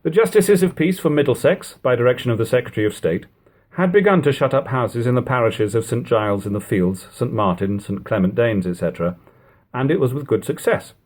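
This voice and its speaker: A man reading an archaic historical book aloud as an amateur audiobook, in measured, formal phrasing. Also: mid-range voice, a little mellow. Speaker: amateur audiobook reader and host.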